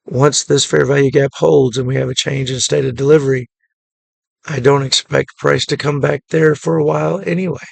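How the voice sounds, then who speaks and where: A man with a deeply gravelly, hoarse voice that has been worn down by a cough. He speaks in a flat, even monotone at a middle pitch and goes through a trade recap in a relaxed, matter-of-fact way. His voice is close and dry, with no room sound around it. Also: moderate pace, no echo. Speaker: day trading channel host. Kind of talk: casual screen-share trade recap.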